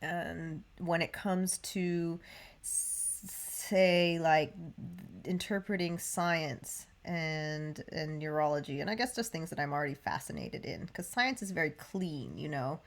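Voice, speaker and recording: A woman with a lowish voice and a pronounced creak chats casually as a podcast co-host. She speaks reflectively, pausing to search for how to put things. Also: animated. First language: English. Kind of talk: casual conversational chat.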